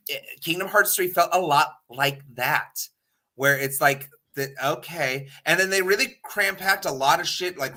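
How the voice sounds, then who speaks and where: Very bright, emphatic man chatting. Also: medium pitch. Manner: rambling, emphatic, casually profane. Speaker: talk show panelist. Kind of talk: casual opinionated chat.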